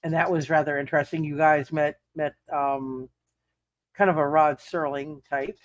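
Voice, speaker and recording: Higher-voiced man hosting, halting speech. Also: steady tone, no room sound. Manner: halting, repeats words and restarts often. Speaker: game master and host of a roleplay livestream. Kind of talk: casual livestream chat and recap.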